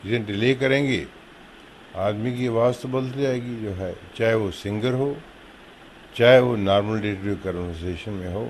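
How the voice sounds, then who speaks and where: A man's voice: on the low side, darkish tone, slight background noise, low-quality recording. An Indian-accented man giving informal interview comment as an expert, mixing in English words.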